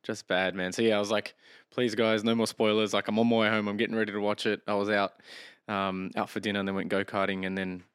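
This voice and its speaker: Laid-back man, lowish voice. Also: little pitch movement, quick pace, hardly any pauses, a bit bright, clean tone, no echo, clean studio sound. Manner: laid-back, laughs often, says "mate". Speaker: podcast co-host. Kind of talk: casual chat.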